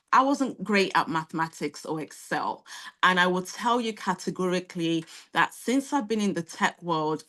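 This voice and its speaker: A woman's voice: on the low side, fairly bright tone. Rallying woman hosting a career webinar. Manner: direct, encouraging, rallying the audience. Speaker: online course presenter. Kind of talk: motivational webinar talk. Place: online webinar.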